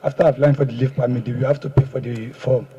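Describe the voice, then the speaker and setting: A man with a Nigerian accent and a husky voice, giving deferential, guarded answers as a suspect under questioning. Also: medium pitch, fairly even intonation, quick pace, warm tone. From Ekiti State, Nigeria. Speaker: paraded fraud suspect being questioned. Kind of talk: public confession under questioning. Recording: dull, muffled audio.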